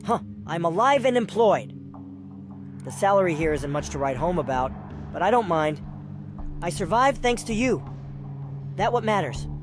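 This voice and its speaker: A man's voice: high-pitched, big pitch swings, normal speed, long pauses. A man playing a loyal, chipper young delivery worker in a visual novel game, with an eager, deferential manner. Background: loud music.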